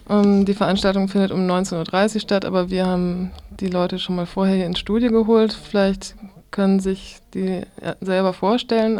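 Woman, radio host, mellow tone, flat intonation, studio introduction. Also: medium pitch.

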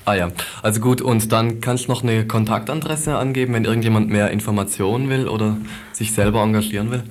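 A woman with an exceptionally deep voice hosts an informal radio interview. She sounds attentive, murmuring along as she listens and putting questions conversationally. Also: steady tone, fairly quick, darkish tone, slight background noise.

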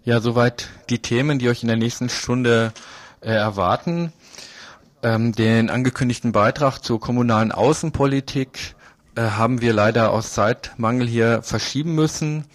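A man's voice: medium pitch, moderate pace, dry recording. A man hosting a radio news magazine, presenting in a semi-scripted live style.

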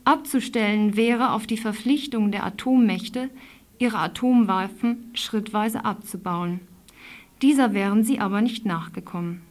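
A woman reading a scripted news report aloud as a radio news reader, formal, with an occasional slip. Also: mid-range voice.

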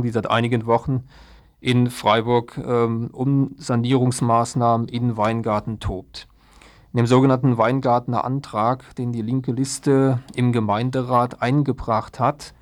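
A man working as a radio news presenter, reading a scripted report. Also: mid-range voice.

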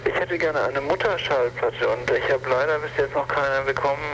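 A man's voice: medium pitch, normal speed, fairly bright tone. A man leaving a casual, hesitant message as a caller on a noisy phone call.

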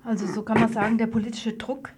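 A woman's voice: on the high side, flat delivery, fast, darkish tone, a little hiss or hum. A woman presenting and interviewing in a radio studio, informal and conversational in her address. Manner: conversational, probing follow-up questions.

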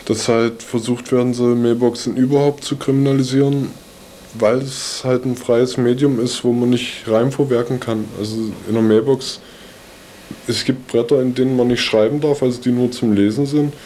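A man's voice: mid-range voice, steady tone, moderate pace, mellow. A man giving a spontaneous explanation as an interviewee, in a slightly noisy recording. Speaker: interviewee, magazine staff member. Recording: noisy interview clip with ambience.

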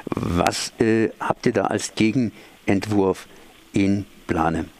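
A man's voice: lowish voice, slow, a little mellow. A man asking questions as the interviewer in a conversational radio interview. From Germany.

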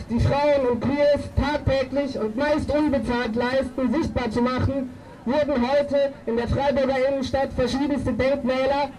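Woman activist at a street demo, really high voice, combative speech, loud noise around her. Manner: declamatory, combative. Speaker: demonstration speaker, feminist activist. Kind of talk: political rally speech. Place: outdoor street demonstration. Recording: distant outdoor microphone, loud street noise.

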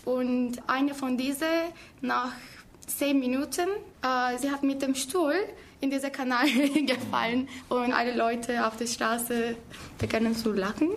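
A woman's voice: sits high, little pitch movement, a little slow, slight background noise. Chatty young woman from Turkey. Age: 20s. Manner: chatty, laughing storyteller with hesitant fillers. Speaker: language student. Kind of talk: casual interview answers.

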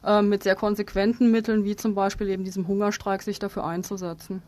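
A woman being interviewed as an activist guest, explaining her position in a halting, spontaneous way with frequent ums. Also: mid-range voice, level tone, a little mellow.

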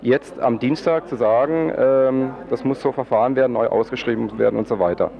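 Fast-talking, creaky-voiced male interviewer. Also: mid-range voice, dull audio. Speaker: radio interviewer. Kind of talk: unscripted interview talk.

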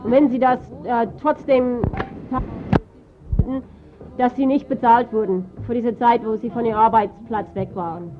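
Hesitant woman interpreter, slightly high voice. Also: fairly even intonation, unhurried. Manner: hesitant, searching for words. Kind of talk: consecutive live interpreting. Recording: dull, faintly noisy archive tape.

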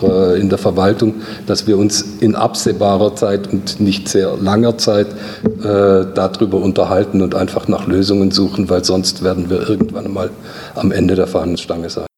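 A man making an insistent, measured appeal to a committee as a welfare organisation representative. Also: sits low, level tone, mellow. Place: indoor meeting room. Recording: reverberant meeting hall.

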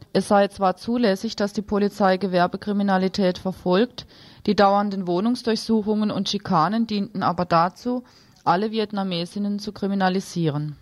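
A woman's voice: medium pitch, no echo. A woman presenting and reading the news on a radio programme.

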